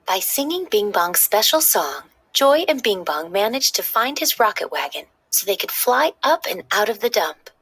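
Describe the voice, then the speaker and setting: A woman narrating a children's story in an expressive, dramatic read-aloud style. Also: medium pitch, moderate pace, few pauses, slightly rough.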